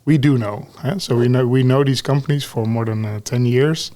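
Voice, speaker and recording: A man's voice: medium pitch, normal speed. A man in his forties with a Dutch accent speaks easily, answering like a guest in a podcast interview. He often tucks a questioning "eh?" or "huh?" onto the end of a point. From Netherlands. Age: 40s. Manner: relaxed, tags sentences with "huh?" and "eh?". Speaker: guest, talent lead. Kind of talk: podcast conversation.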